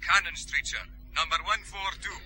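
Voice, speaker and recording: An actor playing an eager, deferential Scottish police sergeant in a radio drama, with a raspy voice. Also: male voice, high-pitched, normal speed, lightly acted, poor audio. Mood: eager, reporting.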